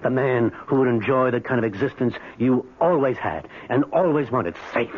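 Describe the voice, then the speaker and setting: An actor playing a bitter, obsessed middle-aged husband in a 1970s radio drama, with insistent, repetitive, deliberate phrasing. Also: male voice, mid-range voice, breathy edge, dull audio, close to an everyday voice. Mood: bitter, accusing. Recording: thin, band-limited, noisy old radio recording.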